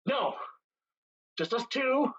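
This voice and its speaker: Woman doing a raspy lout taken prisoner, defiant but cracking, dull audio. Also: high-pitched, animated, takes it slowly, a bit bright, heavily acted. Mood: defiant, cowed. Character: defiant captured lout, cracking under threat. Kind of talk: staged play reading. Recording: dull, slightly muffled lo-fi sound.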